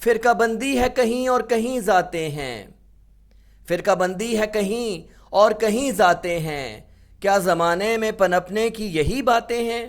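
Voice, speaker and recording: A man speaks in a raised, high register, declaiming a prepared speech to an assembly. His delivery is formal and orotund, and he slows to a measured recitation whenever he quotes verse. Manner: orotund, slows for poetry couplets. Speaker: speech competition model speaker.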